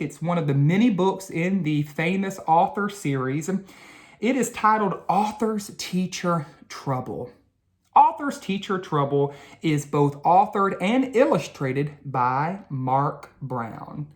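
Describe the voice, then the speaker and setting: A woman reading a children's picture book aloud like a teacher, with expressive, deliberate storytelling. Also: lowish voice.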